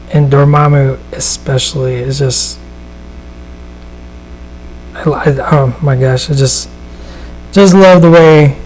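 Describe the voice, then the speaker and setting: A man with a raspy voice, chatting casually and giving a live painting demonstration, with plenty of fillers and restarts. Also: on the high side, a little mellow, rough recording. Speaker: miniature painter and host. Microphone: studio microphone at a desk.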